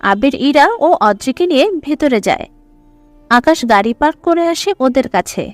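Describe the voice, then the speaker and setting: High-pitched woman narrating a story. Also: expressive, normal speed, no echo, faint music behind.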